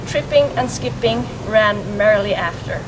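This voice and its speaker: A woman's voice: medium pitch, moderate pace. A woman reading lines of narrative verse aloud. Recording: distant mic, vehicle and ambient noise.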